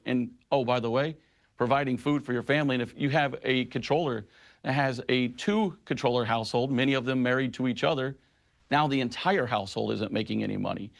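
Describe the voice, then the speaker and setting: Impassioned middle-aged union leader. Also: male voice, medium pitch. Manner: impassioned, emphatic advocacy. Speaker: union president. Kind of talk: news interview guest.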